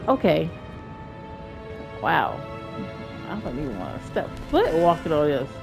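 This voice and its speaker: A woman's voice: low voice, measured pace, vocal fry, music in the background. Chatty, stuffy-voiced woman gamer. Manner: chatty, excitable, rambling, self-talking. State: stuffy from a cold. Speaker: gameplay streamer. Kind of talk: casual let's-play commentary.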